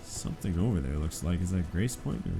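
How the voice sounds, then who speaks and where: A man's voice: sits low, animated, brisk, vocal fry. A man with a nasal, congested voice from allergies, giving casual commentary as he plays a video game. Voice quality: stuffy-nosed, nasal. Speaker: video game player and narrator. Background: music.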